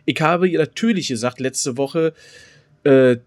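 Man joking casually, medium-pitched voice. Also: takes it slowly, darkish tone. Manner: joking, slangy. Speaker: podcast co-host. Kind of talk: casual comedic podcast chat.

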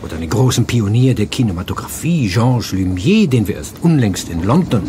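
A pompous, animated learned professor. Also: male voice, on the low side, brisk, noisy background. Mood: lecturing, enthusiastic. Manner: pompous, lecturing, formal. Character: pompous, learned male professor and amateur sleuth. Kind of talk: radio play acting.

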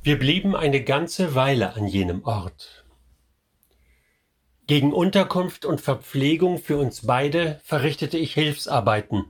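A man's voice: a little high, expressive, breathy edge. An actor playing a pious medieval man, solemnly recounting his hard journey in a radio drama. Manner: solemn, measured storytelling.

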